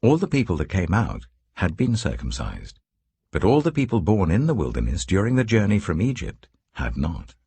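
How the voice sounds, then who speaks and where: A man reading scripture as a scripted narration, in a solemn, measured storytelling manner with deliberate phrasing. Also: deep voice, wildly swooping pitch, dark tone, husky, dry recording. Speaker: Bible narrator.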